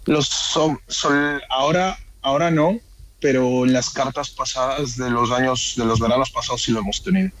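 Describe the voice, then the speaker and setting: A man's voice: medium pitch, fairly even intonation, normal speed, fairly bright tone, a little hiss or hum, poor audio. Friendly man from Ecuador on a video call. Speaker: guest, bar owner. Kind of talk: casual interview over video call.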